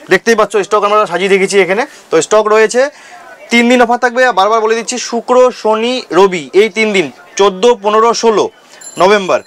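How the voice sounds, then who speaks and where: A man speaks. He delivers an informal vlog-style sales pitch as the host, going back over key details with emphasis. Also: a bit bright. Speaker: shop owner and vlog host.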